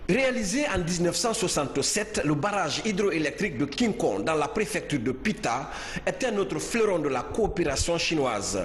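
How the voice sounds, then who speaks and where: A man reads a news report in a measured, formal tone, his voice a little high for a man. The room is roomy and echoey, with a long reverb tail. A faint hiss sits underneath. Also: big pitch swings. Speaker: field reporter.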